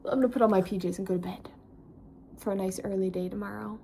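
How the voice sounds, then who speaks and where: A woman's voice: mid-range voice, fairly even intonation, fast, gravelly, mellow, a little hiss or hum. A woman in her twenties chatting casually to camera as a travel vlogger, self-mocking and tired at day's end. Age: 20s. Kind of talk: casual vlog chat to camera.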